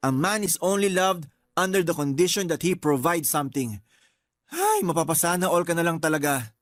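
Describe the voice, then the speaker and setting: A man's voice: a little high, big pitch swings, moderate pace, no room sound, clean studio sound. A man playing a sulky, complaining young boyfriend in a radio drama, whiny and exasperated in manner. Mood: grudging, self-pitying. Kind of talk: Tagalog radio drama acting.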